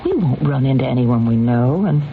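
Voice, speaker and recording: A woman's voice: extremely low pitch, animated, moderate pace. An actress playing a possessive, wealthy woman with a theatrical, coaxing and cutting manner in an old-time radio drama. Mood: insistent. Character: imperious, possessive wealthy woman, affectionate then scolding. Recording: muffled, noisy old radio recording.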